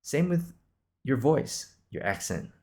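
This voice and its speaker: A man's voice: fairly high pitch, sing-song, slow pace, darkish tone. A man in his twenties from Singapore hosting an improvised podcast, talking casually in a reassuring, encouraging, conversational way. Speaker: podcast host.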